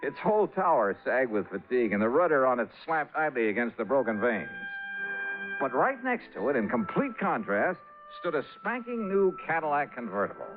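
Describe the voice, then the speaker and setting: Old radio recording with music, wry hard-boiled investigator guy, really lively pitch swings. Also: narrow, tinny audio. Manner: wry, hard-boiled narration with dramatic pauses. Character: wisecracking male insurance investigator, dry and worldly. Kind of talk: 1950s radio detective drama acting and narration.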